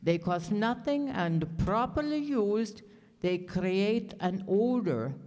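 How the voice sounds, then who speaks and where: A woman acting a stern, dogmatic onion general in a satirical radio play, declaiming pompously and deliberately. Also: mid-range voice, animated, darkish tone. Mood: grave, dogmatic. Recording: echoey hall stage performance.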